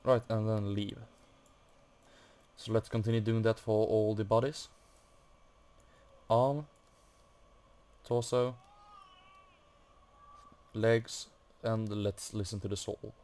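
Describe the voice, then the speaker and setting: A man hosts a casual game walkthrough in a lowish, monotone voice. He hesitates and mutters to himself as he reads from the screen. A faint fan noise runs behind him. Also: slow pace, long pauses. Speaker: gaming video host. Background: computer or room fan.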